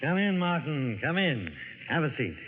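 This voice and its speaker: An actor playing a genial, persuasive professor, eager and hearty, in mid-century radio drama style. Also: male voice, on the high side, sing-song, moderate pace, loud music behind the voice. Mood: genial, inviting. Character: genial, persuasive male professor and expedition leader. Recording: echoey, noisy tinny old radio.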